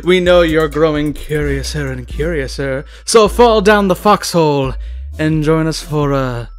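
A man's voice: on the high side, unhurried, soft background music, natural voice. A man playing a playful, mischievous mascot host, delivering a theatrical promo announcement. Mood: showy, mischievous. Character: playful, mischievous Mad Hatter-like channel mascot. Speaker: channel host.

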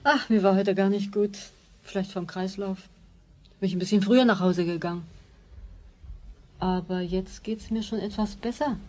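A woman's voice: medium pitch, normal speed, pauses often, mellow, natural voice. An older woman playing a homely, slightly ailing, polite grandmother in a children's radio play.

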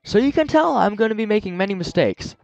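A man hosting a let's play, chatting casually and explaining as he plays, his voice a little raspy and scratchy from a sore throat. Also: sits high, animated, fast, darkish tone, dry recording, highs cut off, faint music behind. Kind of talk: casual gameplay commentary.

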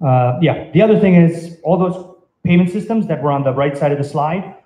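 A man gives an informal technical presentation from the stage of an echoey venue. His voice is soft-edged and mellow, a little higher than average. He explains things conversationally, with a hesitant, halting delivery. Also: lively intonation. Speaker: conference speaker. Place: indoor meetup venue, on stage by projection screen. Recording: roomy, echoey event venue.

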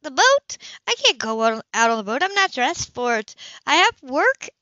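A woman's voice: high voice, big pitch swings, normal speed, sharp tone, dry recording, muffled recording, pitched above the performer's own voice, exaggerated voice. A woman reading the lines of a prim, proud, indignant woman in an amateur audiobook. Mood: indignant, flustered.

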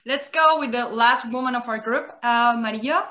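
A young woman from Spain, moderating an online panel over a video call, quick and upbeat. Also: on the high side, pushed and bright. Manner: quick, upbeat, laughs often. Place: small room indoors. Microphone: laptop webcam on a video call.

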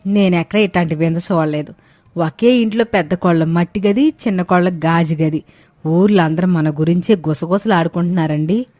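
A woman's voice: on the low side, normal speed, warm tone, dry recording, close to an everyday voice. A woman voicing a bewildered, fretful village mother-in-law who talks in a rustic, colloquial way. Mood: bewildered, complaining. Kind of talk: animated moral-story voice acting.

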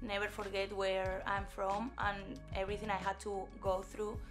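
A woman's voice: medium pitch, completely flat delivery, moderate pace, music in the background. A young female college athlete speaking sincerely about being humble. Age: young adult. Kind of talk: promo testimonial.

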